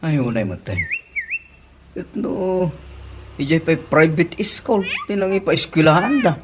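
A man acts out a middle-aged village father with an Ilocano accent, gossipy and quick to defend himself. He talks in animated, colloquial radio comedy style. The recording has clear background noise. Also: fairly high pitch. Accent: Filipino, Ilocano.

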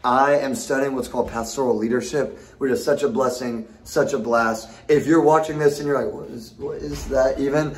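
A man's voice: mid-range voice, steady tone, moderate pace, few pauses, poor audio. Playful young man, mock-formal banter. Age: young adult. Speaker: college student, dorm neighbor. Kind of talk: casual self-introduction on camera. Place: dorm hallway, indoors.

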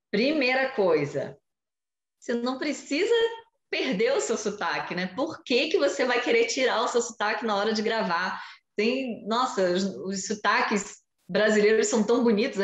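A woman in her forties, a journalist guest, explaining in a lively way during a video-call interview from home. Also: mid-range voice. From Brazil. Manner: animated, explanatory, gestures while talking. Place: home room with shelves. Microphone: video call webcam. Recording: echoey room on video call.

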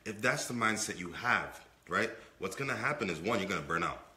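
A young man with a very low, forceful voice co-hosts a casual podcast. He sounds slightly under the weather and repeats points for emphasis. The room around him is echoey. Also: expressive, a little fast. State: under the weather, voice not fully clear. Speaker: podcast co-host. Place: indoor room with brick and wood-panel wall.

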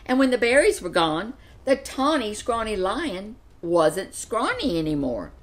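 An older woman in her seventies reading a children's picture book aloud in an expressive storytelling style. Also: medium pitch, a little slow. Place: furnished living room, seated in an armchair.

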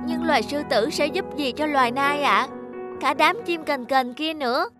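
High-pitched, curious little leaf sprite voiced by a woman. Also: normal speed, music in the background, close to an everyday voice. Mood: doubtful, questioning. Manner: earnest, questioning, respectful. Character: curious, naive young leafy sprite child, eager pupil. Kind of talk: animated fable dialogue.

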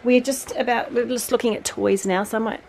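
A woman's voice: on the high side, steady tone, a little fast, darkish tone, slight background noise. A woman in her forties chatting as she sorts a bedroom, talking to her sons between remarks, with lots of fillers. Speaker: vlogger, mother. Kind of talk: casual decluttering narration. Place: bedroom, indoors.

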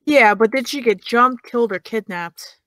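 A woman acting a snarky, high-voiced young woman. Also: moderate pace, a bit bright, dry recording, close to an everyday voice. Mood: needling. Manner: teasing, sarcastic, needling. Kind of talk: audio drama dialogue of a video call.

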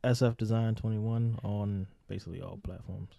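A man's voice: fairly low pitch, fairly even intonation, moderate pace, gravelly, dark tone, dull audio, a little hiss or hum. A man chatting casually on a podcast panel in a dry, contrarian way. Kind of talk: casual group chat.

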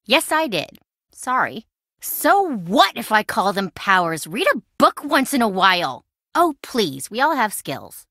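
A woman's voice: mid-range voice, very animated, unhurried, pushed and bright, no room sound, studio quality, heavily acted. An actress voicing a chatty, impulsive young woman in a video game, breezy, wry and self-dramatizing. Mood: breezy, dramatic. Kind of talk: video game voice acting, conversational.

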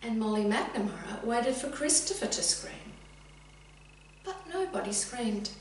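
A middle-aged woman reading a children's story expressively and deliberately, addressing young listeners directly. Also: on the high side, very animated, darkish tone. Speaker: teacher reading a picture book. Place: indoors, sitting room with bookcase. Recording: very echoey room, distant mic, faint hum.

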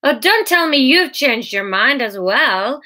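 A woman's voice: on the high side, expressive, normal speed, pushed and bright. A woman voicing a relentless, scornful female devil in an audiobook, taunting and persuading with mock exasperation. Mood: mock exasperated.

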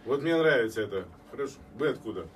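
A middle-aged man hosting a radio interview, asking brisk questions and interjecting with jokey asides. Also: mid-range voice, lively intonation. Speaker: radio host. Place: small radio studio. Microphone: headset and studio mic, captured by far camera. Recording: distant camera audio with background noise.

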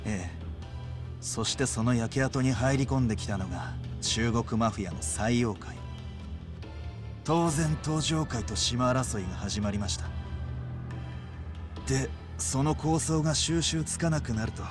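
A man's voice: mid-range voice, unhurried, long pauses, close to an everyday voice. Male actor voicing an earnest young game yakuza, polite and urgent, music behind. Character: respectful young yakuza man in work clothes, anxious. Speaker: yakuza member. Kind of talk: video game cutscene dialogue.

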